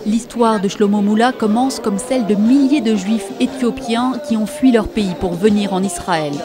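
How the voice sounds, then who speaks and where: A female reporter narrates a scripted news piece at a medium pitch. Clear music and crowd sound run behind her voice. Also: normal speed. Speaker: television reporter.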